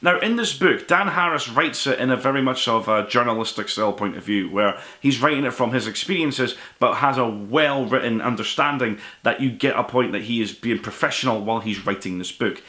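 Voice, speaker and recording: Man, bright forceful voice, book review host, earnest, emphatic, conversational delivery. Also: mid-range voice, animated, a little fast, hardly any pauses. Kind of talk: enthusiastic spoken book review to camera.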